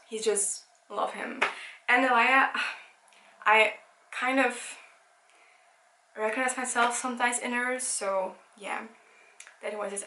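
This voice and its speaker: A young Belgian woman who speaks English as a second language, with Dutch as her first, talks through her books in a loose, rambling way, often stopping to correct herself. Her voice sits at a middle pitch. The recording has a roomy echo. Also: fairly bright tone. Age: young adult. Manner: chatty, rambling, many restarts. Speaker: book vlogger. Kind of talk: casual book review. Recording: echoey room.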